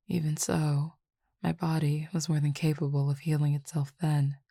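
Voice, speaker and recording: A woman playing a calm, teasing Cupid roommate with formal, measured speech in an intimate roleplay audio drama. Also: low-pitched, creaky, no room sound, clean studio sound, full character voice. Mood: reassuring.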